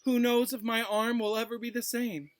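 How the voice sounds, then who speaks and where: A man's voice: very high-pitched, little pitch movement, moderate pace, voice pushed up. A man voicing a smug, spoiled schoolboy bully with a drawling, mock-pitiful delivery in a storybook reading.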